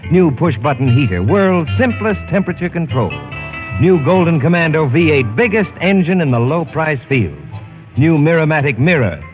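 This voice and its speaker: A man's voice: a little high, narrow, tinny audio. A male commercial announcer with a punchy, emphatic 1950s radio sales delivery. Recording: thin vintage radio recording. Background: music.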